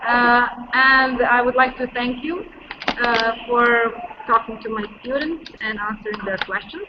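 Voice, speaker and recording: A woman's voice: fairly high pitch, fairly even intonation, unhurried. A woman teacher with a Serbian accent, a native Serbian speaker, hesitantly reading out her pupils' questions with many uhs. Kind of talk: casual interview questions. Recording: dull lo-fi audio with clear noise.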